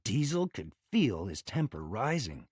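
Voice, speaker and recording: A man reading a children's read-along story as a scripted, dramatic and expressive narration. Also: on the low side, unhurried, breathy edge, no echo, clean studio sound.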